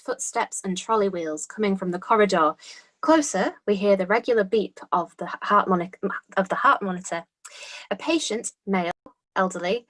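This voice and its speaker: A woman's voice: medium pitch, poor audio. A woman reading scene descriptions from a script as dramatic narration. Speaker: script reader. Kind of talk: scripted narration, screenplay read-through.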